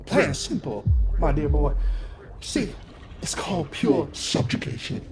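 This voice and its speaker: Guy doing a smug old slime cult wizard, pompous gloating zealot, game villain over loud music. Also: fairly high pitch, big pitch swings. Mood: smug, devout. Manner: pompous, faux-genteel, drawn-out phrasing.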